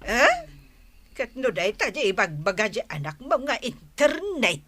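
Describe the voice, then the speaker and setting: A woman's voice: a little high, big pitch swings, a little slow, fairly bright tone, heavily acted. An actress playing an older country mother in a radio drama, bewildered and querulous, with an Ilocano accent. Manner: querulous, exclamatory.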